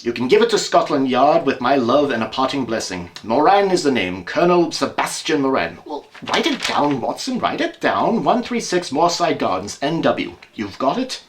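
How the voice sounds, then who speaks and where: Man playing a brisk, wry, animated detective. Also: medium pitch, a little hiss or hum, close to an everyday voice. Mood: grave, wry. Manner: emphatic, wry, theatrical. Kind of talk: amateur audio drama acting.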